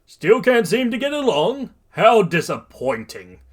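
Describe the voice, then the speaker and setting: Veteran superhero man for storytelling, voiced by a man: booming, grandiose, theatrical, lifted pitch. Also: sing-song, unhurried, husky, exaggerated voice. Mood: taunting.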